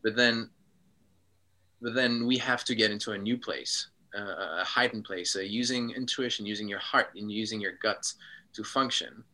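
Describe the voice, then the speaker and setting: A man from Switzerland, a guest in a casual interview, speaking reflectively with frequent pauses and ums. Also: lowish voice, pushed and bright, poor audio.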